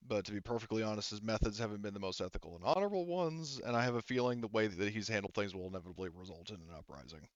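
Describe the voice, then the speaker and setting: A man narrating a tabletop roleplaying game as game master, talking in a halting, rambling, informal way with some swearing. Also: lowish voice, no echo, highs cut off.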